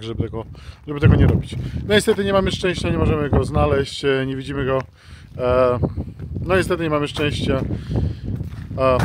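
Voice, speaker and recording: Man presenting outdoors with clear background noise. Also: mid-range voice. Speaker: travel vlogger. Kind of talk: casual presenting to viewers. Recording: outdoor wind and traffic noise.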